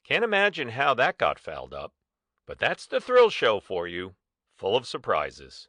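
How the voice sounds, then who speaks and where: TV show director played by a man, breezy false cheer, very animated pitch, bright tone, dry sound, dramatized story. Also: a little slow, dry recording, exaggerated voice. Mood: breezy reassurance.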